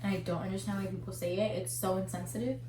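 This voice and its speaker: A woman's voice: medium pitch, fairly even intonation, fast, a crowd in the background. A young woman whose first language is Spanish, chatting casually and candidly as a co-host over a meal. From United States, family from Colombia. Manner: candid, swearing, earnest. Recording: echoey room.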